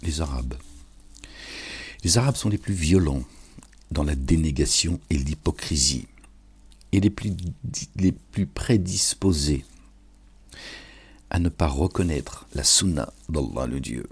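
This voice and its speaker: A man's voice: very deep voice, lively intonation, slow pace, long pauses, darkish tone, slightly rough. A man giving an informal, sermon-like reflection on scripture, preaching and addressing his listeners familiarly with frequent check-in questions. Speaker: online religious and political commentator.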